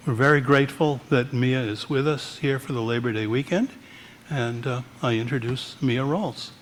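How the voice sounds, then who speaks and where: A man, a minister, giving a measured church welcome and introducing a guest, with frequent pauses. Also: mid-range voice, a bit bright, slight background noise. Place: church sanctuary. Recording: long hall reverb.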